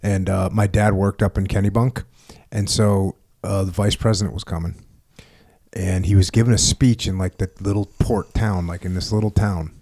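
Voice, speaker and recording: A man hosting a podcast, telling a personal story in a loud, animated, self-amused way. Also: low voice, normal speed. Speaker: podcast host. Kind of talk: casual storytelling conversation.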